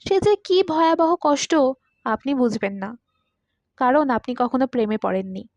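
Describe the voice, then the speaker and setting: A woman's voice: high-pitched, unhurried, a little mellow, dry recording. A woman voicing a courteous, talkative man of about forty who confides his story wistfully in an audiobook. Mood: lovelorn, anguished. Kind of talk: audiobook character dialogue.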